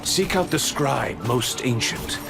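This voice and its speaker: Proud archangel, very creaky rasp, zealous, grandiose, deliberate, video game cutscene, music behind. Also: male voice, medium pitch, big pitch swings, exaggerated voice. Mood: commanding.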